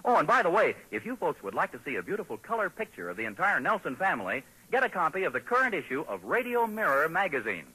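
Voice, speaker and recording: A man's voice: a little high, huge pitch swings, fast talker, few pauses, pushed and bright, slight background noise, low-quality recording. An American man announcing on a scripted 1940s radio show, with a polished salesman's delivery, heard on a vintage broadcast.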